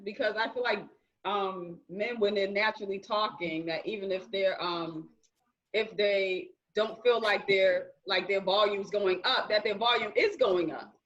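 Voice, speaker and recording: A woman's voice: medium pitch, a little slow, a bit bright, lo-fi sound. A woman hosting a discussion with married couples, speaking earnestly and guiding them with questions. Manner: earnest, reflective, leads with questions. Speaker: host.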